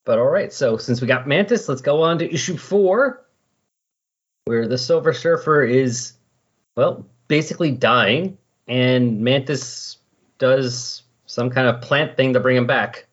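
Husky-voiced man chatting about comics. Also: medium pitch, a little slow, muffled recording. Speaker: comics podcast co-host. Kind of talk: casual chat about comics.

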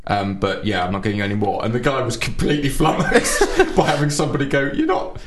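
A man's voice: medium pitch, lively intonation, quick pace, roomy sound. A man co-hosting a casual comedy radio chat, telling rambling anecdotes in a dry way with chuckles. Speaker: co-host.

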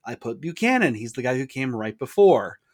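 A man in casual conversation runs eagerly through facts and swears offhandedly. He hosts trivia and speaks at a mid pitch. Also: very animated, moderate pace. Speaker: trivia host. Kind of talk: casual chat.

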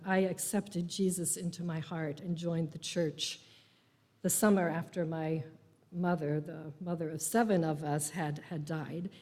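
An older woman giving a personal faith testimony in a church, speaking in a slow, reflective, gently humorous way. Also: lowish voice. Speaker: congregant sharing faith testimony. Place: church sanctuary. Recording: long reverb of a large hall.

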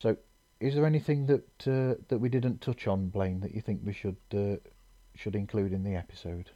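A man hosting a podcast, chatting in an easygoing, agreeable, measured way. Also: on the low side, fairly even intonation, warm tone, no room sound. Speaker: podcast host. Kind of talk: casual chat. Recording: dull lo-fi audio.

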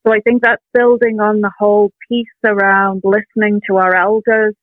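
An older woman artist talking in a casual interview, reflective and earnest, pausing with soft ums, heard over a phone call. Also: mid-range voice, flat delivery, no echo. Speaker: artist guest. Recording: tinny dry phone line.

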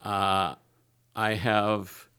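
A man speaking as a podcast guest, halting and restarting often in candid personal talk. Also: lowish voice, flat delivery, bright, forceful tone, clean tone. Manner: slow, halting, many restarts and fillers.

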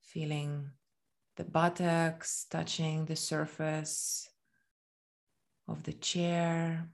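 A woman with a fairly low voice guides a body scan meditation. She speaks very slowly and gently, leaving long pauses between short phrases. The delivery is soothing and unhurried throughout. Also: little pitch movement, creaky.

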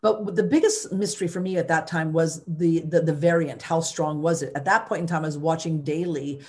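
A woman's voice: sits low, steady tone, a little fast, a little mellow. A woman, a former mayor, telling her story in an interview with emphatic energy and many restarts.

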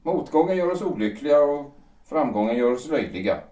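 Male actor as world-weary vain playwright, wry and musing, mellow, distant from the mic, period audio drama. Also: medium pitch, animated, natural voice. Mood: sardonic. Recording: roomy echo, distant from the mic.

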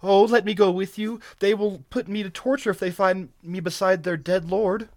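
Man putting on a high, pleading captive woman. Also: fairly even intonation, moderate pace, dry recording, studio quality, close to an everyday voice. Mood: terrified, pleading. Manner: wailing, pleading, breathlessly urgent. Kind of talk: dramatic audiobook character reading.

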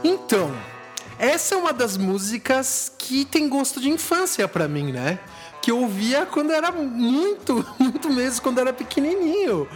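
A man's voice: very high voice, big pitch swings, normal speed. A man hosting a casual music podcast, playful and teasing with his co-host.

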